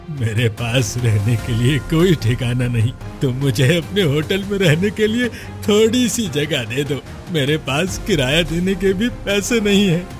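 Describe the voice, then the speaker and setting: A man's voice: fairly high pitch, lightly acted. A man voicing a desperate, feverish homeless villager begging for shelter in a cartoon story. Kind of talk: cartoon moral story dialogue.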